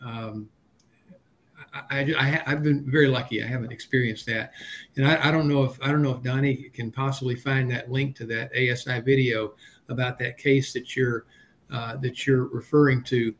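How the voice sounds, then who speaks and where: Older guy on a video call, stop-and-start talker, mid pitch, some low rumble noise. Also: steady tone. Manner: halting, repeats word starts. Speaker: panelist and experienced pilot. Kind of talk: casual panel chat.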